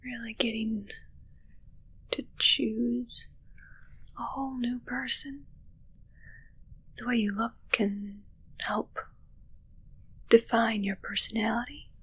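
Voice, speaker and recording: A young woman playing a haughty, morbidly playful witch in an ASMR roleplay, whispering slowly and breathily in a musing, gently critical way close to the mic. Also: fairly high pitch, little pitch movement, slightly rough, full character voice, low-quality recording. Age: young adult. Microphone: close microphone, seen at close range.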